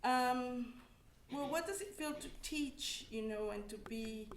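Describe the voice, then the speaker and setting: A woman from Mexico, an academic on a panel, speaking reflectively with frequent restarts. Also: a little high. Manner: reflective, halting, many restarts. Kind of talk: personal testimony on a panel. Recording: echoey room.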